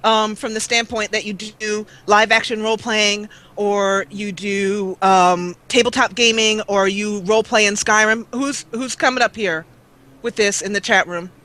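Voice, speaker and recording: A young woman YouTuber chatting as an interview guest over a webcam call, talkative and quick to laugh at herself. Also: mid-range voice, fairly even intonation, pushed and bright. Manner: chatty, laughs often, self-mocking. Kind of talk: casual interview chat.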